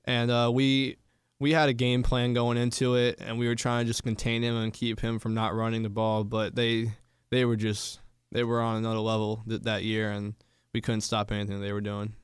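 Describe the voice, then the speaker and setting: Young man, college athlete guest. Flat intonation, smooth voice, casual answers. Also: mid-range voice, moderate pace, no echo, clean studio sound. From Florida, United States. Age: young adult. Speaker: college football player guest. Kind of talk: casual sports interview answers.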